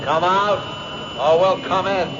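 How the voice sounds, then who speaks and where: A stern police officer on a bullhorn in a vintage radio thriller. His somewhat high male voice announces orders with deliberate authority. The sound is muffled and noisy. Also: fairly even intonation. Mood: stern, commanding. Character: stern male policeman issuing surrender ultimatum. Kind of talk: old-time radio drama acting.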